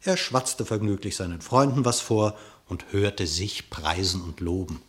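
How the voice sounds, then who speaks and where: A man narrating a classic radio play in verse, in an expressive, declamatory storytelling manner. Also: on the low side, a little slow.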